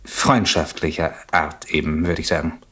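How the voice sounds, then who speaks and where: Defensive gent being grilled by police, radio play, bit raspy, formal and hesitant. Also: male voice, extremely low pitch, fairly even intonation, moderate pace, bright, forceful tone, heavily acted. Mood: hesitant, evasive. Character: defensive, evasive gentleman questioned by police. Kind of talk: German radio crime play acting.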